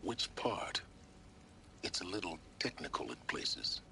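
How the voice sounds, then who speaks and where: A very deep, measured male voice from a video game, cryptic and knowing, as a schemer who always seems to know more. The game audio carries clear background noise under his words. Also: very animated, pushed and bright, exaggerated voice.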